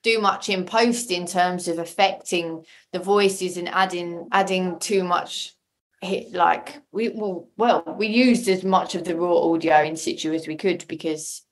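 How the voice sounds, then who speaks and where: A woman's voice: fairly low pitch, fairly even intonation, a little slow, low-quality recording. A woman speaking casually as an interview guest, explaining things emphatically and often repeating words for emphasis. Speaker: audio drama maker, podcast guest.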